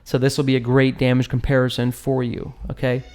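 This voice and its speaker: A man with a mid-pitched voice talks through a game battle as a channel host. His delivery is informal and conversational, full of slang and joking asides. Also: completely flat delivery, brisk, a little mellow. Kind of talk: casual gameplay commentary, analysing a battle report.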